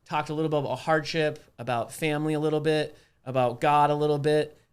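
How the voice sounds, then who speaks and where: A man with a somewhat high voice hosts a casual podcast. He talks in loose, slangy, conversational language, telling stories as he goes. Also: fairly even intonation, fast talker, clean tone. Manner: informal, slangy. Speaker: podcast host. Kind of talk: casual chat, animated storytelling.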